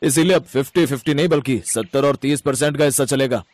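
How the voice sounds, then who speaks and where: A man's voice: mid-range voice, fast, a little mellow, no room sound, lightly acted. Man playing greedy, bullying merchant boss, dry sound. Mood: harsh, bullying. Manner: haughty, blunt, scolding.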